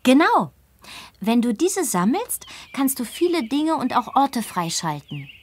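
A woman's voice: high-pitched, sing-song, unhurried, slight background noise. A woman voicing a friendly, confident teenage farm girl in a children's game, upbeat and encouraging. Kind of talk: children's game dialogue acting.

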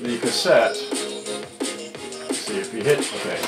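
A man's voice: on the low side, animated, a little slow, reverberant, over loud music. An older man giving a casual, offhand live demonstration at a workbench, rambling and thinking aloud. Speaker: presenter demonstrating a vintage cassette radio for sale. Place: indoors at a cluttered workbench.

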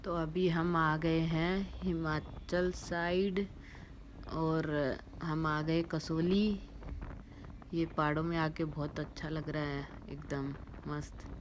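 A woman's voice: deep voice, level tone, slow, slight background noise. A woman in her thirties chatting cheerfully to viewers in a casual travel vlog, filming with a phone at arm's length outdoors. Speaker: vlogger. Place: outdoors on a hill road. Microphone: phone held at arm's length.

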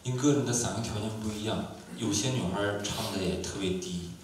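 A man from Inner Mongolia, a visiting musician, answering audience questions in a lively, joking way, in a lecture room. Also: on the low side, fairly quick, background noise. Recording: echoey room, distant mic.

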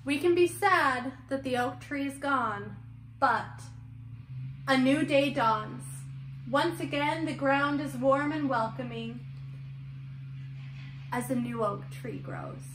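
A young woman reading and discussing a picture book for small children, in an expressive, questioning teacher's manner. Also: high-pitched, takes it slowly, long pauses, slight background noise. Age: young adult. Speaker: children's story-time teacher. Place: room at home, indoors. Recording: roomy echo, music underneath.

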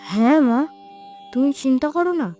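A woman's voice: high voice, expressive, moderate pace, warm tone, soft background music. A woman voicing a polite, eager and studious young village boy in a cartoon, earnest and obedient. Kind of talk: Bengali moral cartoon voice acting.

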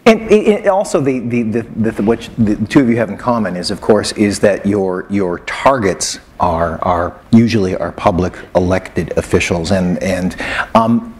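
A man with a fairly low voice moderates an interview on a library stage. He stumbles into his questions and fills the gaps with steady murmurs of agreement. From Canada. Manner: frequent murmured backchannel, halting questions. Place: stage in a public library hall.

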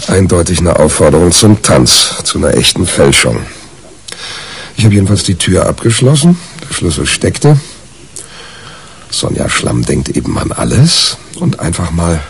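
Wry cheeky newspaper guy in a crime radio play, low voice, unhurried, sardonic. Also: big pitch swings, creaky, exaggerated voice. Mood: wry, confiding. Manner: sardonic, colloquial. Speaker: narrator and main character. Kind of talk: German radio crime drama, first-person narration.

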